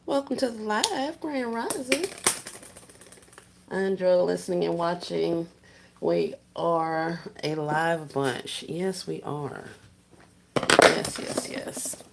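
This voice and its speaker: A soft-spoken woman, chatting casually with viewers on a livestream while she works on gift baskets. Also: on the low side, slow, vocal fry, long pauses, background noise. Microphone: phone set down while working.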